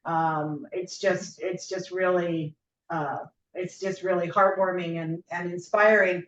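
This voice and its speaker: A woman speaks slowly and reflectively, with pauses as she gathers her thoughts. She sounds roomy and far from the mic, as on a group call, giving informal comments. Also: on the low side, fairly even intonation. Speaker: supporter commenting on a donor call. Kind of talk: thoughtful, informal spoken remarks. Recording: roomy, distant, muffled, faintly noisy call audio.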